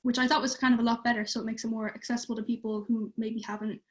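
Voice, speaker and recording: A woman's voice: on the high side, level tone, racing. A teenage girl from Ireland, chatting casually on a livestream and using many fillers. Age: teens. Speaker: youth theatre participant.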